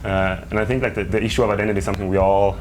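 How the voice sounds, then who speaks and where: A man from Sweden whose first language is Swedish speaks earnestly, groping for words with frequent fillers. His voice sits on the low side. Faint hiss lies behind it. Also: steady tone, rapid-fire. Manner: earnest, searching for words, many "like" and "you know". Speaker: interview guest, family member. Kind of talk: casual interview conversation.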